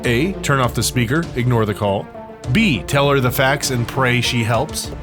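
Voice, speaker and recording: A man narrating an interactive audio drama in a suspenseful, theatrical scripted style with dramatic pauses. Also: medium pitch. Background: music.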